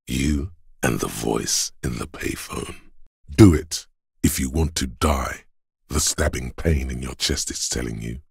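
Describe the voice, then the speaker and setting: An actor playing the grave, commanding inner voice of a battered detective's body in a video game, gravelly and husky, speaking with solemn, portentous deliberation. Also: male voice, a bass voice, a little slow, a little mellow, no echo, studio quality, exaggerated voice. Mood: ominous, grave. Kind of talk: solemn dramatic game narration.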